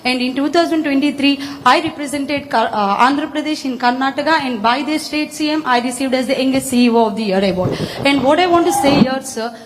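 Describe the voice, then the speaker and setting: A young woman with a high voice and an Indian accent addresses a forum from the floor. She is earnest and formal but unscripted, and the hall rings around her. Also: a little fast, few pauses. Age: 20s. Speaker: startup founder speaking from the audience. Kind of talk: unscripted public request to a leader. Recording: echoey hall microphone with background noise.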